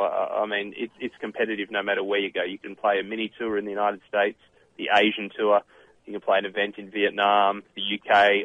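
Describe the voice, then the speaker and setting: Australian man, 30s, phone-in guest, low and fairly flat, halting with fillers, bone-dry tinny line. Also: no room sound. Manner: halting, many uh fillers and restarts. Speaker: professional golfer and author, guest. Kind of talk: radio interview answers.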